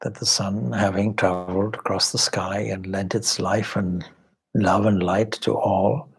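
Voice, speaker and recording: A man leading a guided meditation as a spiritual teacher, speaking in measured, deliberate short phrases with long pauses and formal, contemplative wording. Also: fairly low pitch, little pitch movement, gravelly, slightly rough. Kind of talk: guided meditation, slow spoken instruction.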